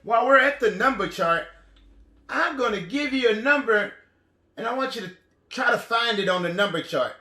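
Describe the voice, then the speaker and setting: Male elementary teacher, very high forceful voice, animated and encouraging, recorded in an echoing room. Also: normal speed. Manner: animated, encouraging call-and-count delivery with short repeated phrases. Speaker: elementary teacher and lesson host. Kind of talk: children's counting lesson. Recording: roomy, echoing indoor sound.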